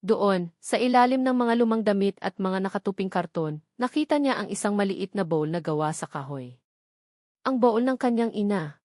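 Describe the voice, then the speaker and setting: A woman narrates a scripted love story in a dramatic storytelling style. Her voice sits at a middle pitch. The sound is very dry, with no audible room. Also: a little mellow, dry recording.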